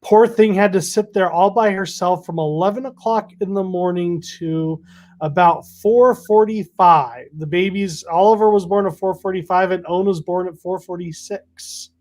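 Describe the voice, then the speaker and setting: A man's voice: sits high, normal speed, a little mellow. A man, hosting a casual chat and teasing his co-host. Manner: easygoing, teasing.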